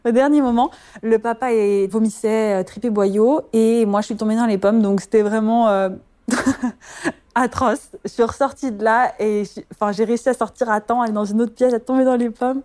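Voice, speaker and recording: A woman's voice: on the high side, warm tone. A woman, a midwife talking about her work in an interview, hesitant with many fillers and easy laughter. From France. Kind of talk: personal interview testimony.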